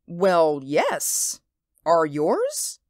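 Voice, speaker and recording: An indulgent housewife and mother, played by a woman in a radio drama. She speaks slowly with expressive pitch, polite and slightly hesitant, in a dry recording. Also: no room sound, heavily acted. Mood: friendly. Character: indulgent suburban housewife and mother, mildly uneasy. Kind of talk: audio drama acting.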